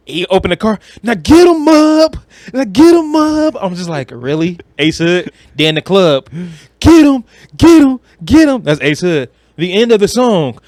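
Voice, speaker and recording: A man co-hosting a podcast, chatting casually about films in a blunt, animated, slangy way with some swearing. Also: high-pitched, no room sound. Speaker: podcast co-host.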